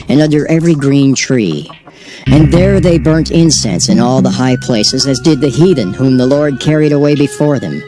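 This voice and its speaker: A man narrates old-fashioned scripture in a measured, solemn cadence, his somewhat high voice rising and falling with expression. Loud music plays under the reading throughout.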